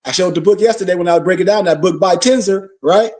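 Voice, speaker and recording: A man's voice: sits high, fast, a little mellow, recorded from a distance. A man giving an informal religious lecture, preachy, full of rhetorical questions and call-and-response. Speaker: religious teacher.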